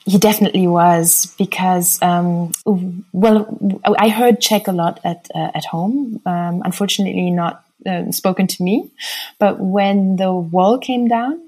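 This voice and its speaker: A middle-aged woman with a mixed German and American accent, giving thoughtful, hesitant interview answers with many pauses. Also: lowish voice, mellow, breathy edge, rough recording. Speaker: interview guest.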